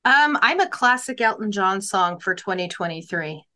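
A woman's voice: mid-range voice, animated, normal speed, bright, forceful tone. A woman in casual podcast chat, playful and teasing.

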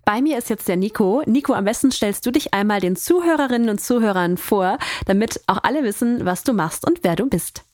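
A woman's voice: medium pitch, expressive, fairly quick, hardly any pauses, darkish tone, no room sound, clean studio sound, soft background music. A woman hosting a careers podcast, asking curious, guiding questions. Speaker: podcast host, interviewer. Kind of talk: podcast conversation.

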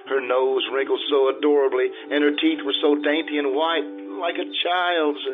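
Guy acting vain romantic gentleman lover, theatrical radio play, thin tinny sound, music behind. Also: mid-range voice, lightly acted. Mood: wistful narrating. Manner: theatrical, self-important. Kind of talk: old-time radio drama acting and narration.

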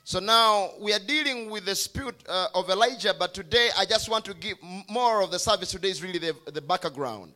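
A man with a Ugandan accent preaching a church sermon in short, emphatic, repetitive phrases, pausing for an interpreter. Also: sits high, bright, forceful tone. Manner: emphatic, declarative, repetitive teaching. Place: church service, speaking over a sound system.

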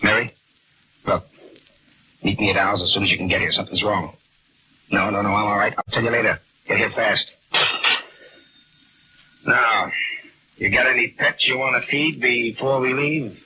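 An actor playing a sullen, menacing fugitive in a 1940s radio drama, curt and commanding. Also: male voice, lowish voice, steady tone, moderate pace, long pauses, natural voice. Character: sullen, menacing fugitive criminal man, tense and bossy. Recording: noisy muffled vintage radio recording.

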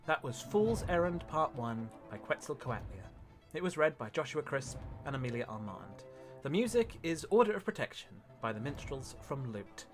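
A man reading a scripted outro announcement as the credits announcer. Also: mid-range voice. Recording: music playing behind the voice.

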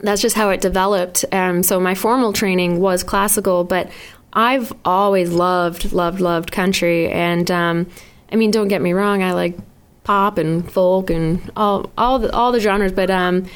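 A woman's voice: fairly low pitch, steady tone, a little slow, smooth. A young woman singer with a New England accent, chatting in a radio studio interview, rambling with frequent laughs and restarts. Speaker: singer-songwriter guest.

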